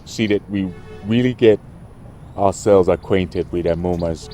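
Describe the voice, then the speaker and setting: An actor playing a haughty, short-tempered royal man in a film drama, with a pompous, halting delivery. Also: low-pitched, warm tone, soft background music. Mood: formal, restrained.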